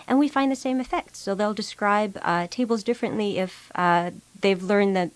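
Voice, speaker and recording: A woman's voice: mid-range voice, no echo. Woman researcher, native Russian speaker. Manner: measured, explanatory, many filler ums and uhs. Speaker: psychology researcher. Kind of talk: interview answers, explanatory.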